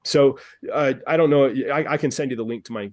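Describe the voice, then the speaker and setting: A man's voice: mid-range voice, brisk, a little mellow, no echo. A man with a mid-range voice leading a group coaching call. He is upbeat and persuasive and often repeats phrases. His voice sounds dry and close. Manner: upbeat, persuasive, repeats himself, addresses callers by name. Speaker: dance studio business coach, call host. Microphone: video call.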